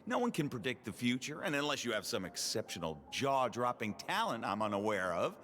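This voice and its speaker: A man voicing a blustering, teasing but kind adult mentor in a video game, very expressive. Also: medium pitch, a little fast. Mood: teasing, dismissive.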